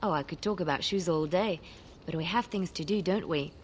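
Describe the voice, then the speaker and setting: A woman playing a girlish, wistful young companion in a fantasy video game, with an expressive delivery. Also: on the low side, fairly quick, slight background noise, close to an everyday voice. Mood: dreamy, enthusiastic. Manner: dreamy, expressive.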